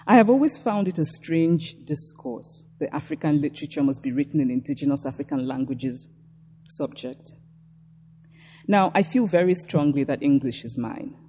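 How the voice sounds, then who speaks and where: A woman from Nigeria with a Nigerian accent, reading a prepared lecture in a measured, reflective way. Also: sits low, lively intonation, dark tone, in a large hall, slight background noise.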